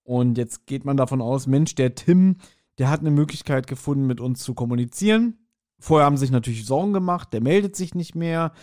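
A man's voice: mid-range voice, brisk, darkish tone. A man co-hosting a podcast, casually discussing an audio drama in a colloquial, speculative way. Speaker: podcast co-host.

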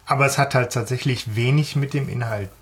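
A man's voice: mid-range voice, brisk. A man discussing things casually as co-host of a fan podcast.

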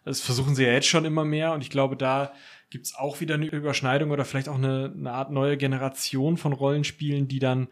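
A man's voice: mid-range voice, little pitch movement, brisk. A man talking casually as a podcast guest, in a loose, colloquial manner. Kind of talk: casual chat.

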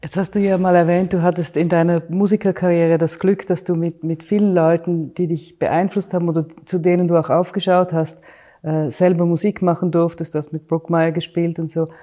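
Mellow low-voiced female interviewer. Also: little pitch movement, brisk. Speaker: interviewer, podcast host. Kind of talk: interview questions.

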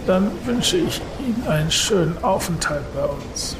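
A courteous hotel receptionist, played by a man, speaks in a fairly high male voice. His manner is formal and obliging, and loud ambient noise surrounds him in this radio play. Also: expressive, a little slow. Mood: polite. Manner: formal, service-polite. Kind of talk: audio drama acting.

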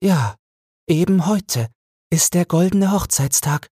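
A fond old wife played by a man reminisces in a bedtime storybook reading. The delivery is slow and smooth, lifted well above the reader's own pitch, and recorded dry. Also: expressive, mellow, no room sound, exaggerated voice. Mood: tender. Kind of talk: audiobook fairy-tale character reading.

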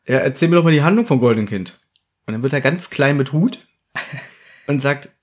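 A man's voice: mid-range voice, animated, a little fast. A man co-hosting a casual podcast chat about audio dramas, with a teasing, needling manner. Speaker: podcast co-host.

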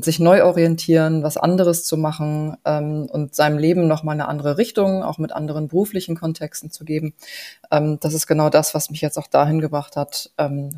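Mellow-voiced woman in her forties. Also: sits low. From Germany. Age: 40s. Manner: thoughtful, with frequent hesitation sounds. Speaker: interview guest, business consultant. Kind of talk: casual interview talk.

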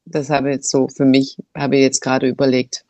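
A woman's voice: a bass voice, completely flat delivery, a little fast, darkish tone, no room sound. A German woman hosting a casual talk, thinking aloud with many fillers and restarts. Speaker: podcast host. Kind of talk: casual conversation. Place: Cambodia, living abroad.

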